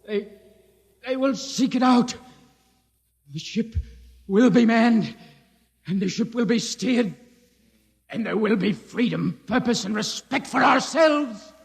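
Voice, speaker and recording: A defiant male idealist on a vintage radio play. The voice sits high and swings widely in pitch, and the old broadcast has a long reverberant tail. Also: animated, slow, gravelly, long pauses, full character voice. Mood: declaiming. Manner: pleading then declaiming, shouting. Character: impassioned male visionary Hoyland, defiant idealist. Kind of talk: 1950s radio drama acting.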